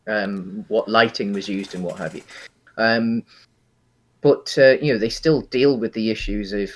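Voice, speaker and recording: Low-pitched British man, halting casual talker. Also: rough recording. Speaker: podcast co-host. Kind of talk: casual film and games discussion.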